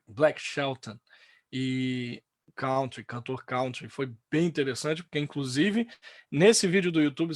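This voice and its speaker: A Brazilian man teaching an online English lesson, lively and full of fillers and restarts. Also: medium pitch, no echo, studio quality.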